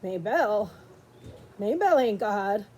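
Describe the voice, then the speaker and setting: A woman's voice: mid-range voice, lively intonation, a little slow, dark tone, pitched below the performer's own voice. Woman doing a blunt, tender country dad. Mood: firm reassurance. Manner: blunt, folksy, comforting. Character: gruff but tender rural father.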